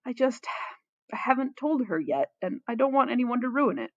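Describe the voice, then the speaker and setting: A woman's voice: a little high, sing-song, normal speed, no echo, muffled recording, pitched above the performer's own voice. A woman voicing a lovesick, guarded boy crown prince in a story, gloomy and hesitant.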